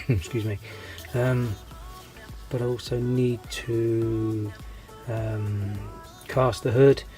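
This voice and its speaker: A man with a stuffy nose and a voice that is giving out chats casually to his viewers. He hesitates a lot, often restarting his sentences, and stays friendly and easygoing throughout. Clear background music plays under his voice. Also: mid-range voice, steady tone, drawn-out words, a little mellow. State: congested with a cold, losing his voice. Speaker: scale model hobby channel host. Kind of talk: casual rambling vlog update.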